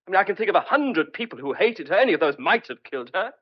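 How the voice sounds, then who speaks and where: A man's voice: high-pitched, very animated, quick pace, husky, exaggerated voice. An actor playing a desperate, stubborn but tender man on the run, speaking earnestly and hesitantly in old-fashioned clipped phrases in a radio thriller. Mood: agitated, bitter. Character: desperate fugitive man wrongly suspected of murder, stubborn, tender. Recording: dull lo-fi old radio drama recording.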